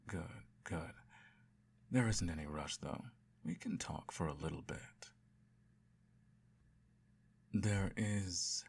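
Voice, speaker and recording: Deep gravelly man, soft measured tone. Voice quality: gravelly, husky. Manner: calm, measured, softly murmuring, drops into whispers. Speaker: emperor parent in an audio roleplay. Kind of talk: scripted audio roleplay, soft bedtime storytelling.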